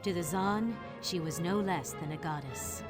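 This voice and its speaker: A woman's voice: on the low side, normal speed, loud music behind the voice. A woman narrating a fantasy game story in a solemn, dramatic, scripted storytelling style.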